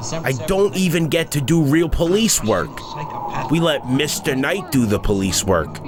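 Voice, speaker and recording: A man playing a bitter, resentful street cop in a comic dub, with a sullen manner. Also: medium pitch. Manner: sullen, indignant. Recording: music behind the voice.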